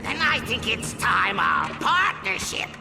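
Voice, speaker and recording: A woman playing a theatrical, haughty and explosive scheming villain in an animated video game. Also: a little high, measured pace, gravelly, music in the background, heavily acted. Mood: scheming menace. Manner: melodramatic, imperious.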